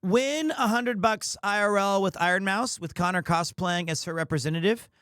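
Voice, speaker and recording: A man's voice: high-pitched, normal speed, fairly bright tone, clear, resonant tone, no room sound, studio quality. A man hosting a livestream, chatting casually in a rambling, opinionated and playfully defensive way. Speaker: live streamer, host of the show. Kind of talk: casual livestream chat with viewers.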